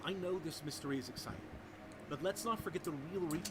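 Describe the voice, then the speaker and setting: A man acting an earnest team leader in a fantasy audio drama, with a measured, instructive delivery. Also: on the high side, heavy background noise, natural voice. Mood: rallying. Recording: loud score music over drama dialogue.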